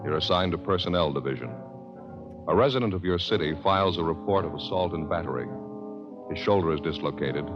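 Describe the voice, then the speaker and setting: A man announcing in 1950s radio style, with dramatic, emphatic pitchman delivery. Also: a little fast. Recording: muffled old radio broadcast with reverb and music.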